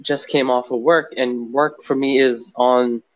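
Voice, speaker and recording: A man's voice: mid-range voice, steady tone, measured pace. Man, caller, sore throat, sinus congestion, rambling talker. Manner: rambling, roundabout explaining. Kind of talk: casual chat.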